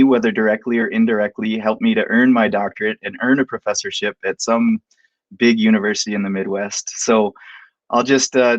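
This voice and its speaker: A man from Montana who is a professor, giving a heartfelt, measured introduction that he reads from a prepared script over a video call. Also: on the low side, no room sound, muffled recording. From Montana, United States. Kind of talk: prepared introduction read aloud.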